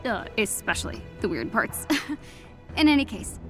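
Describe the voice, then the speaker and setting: A woman's voice: medium pitch, sing-song, measured pace, soft background music. A woman playing a friendly, eager, slightly awkward teenage superhero in a video game, casual and earnest. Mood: warm, earnest. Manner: casual, earnest, giggly asides.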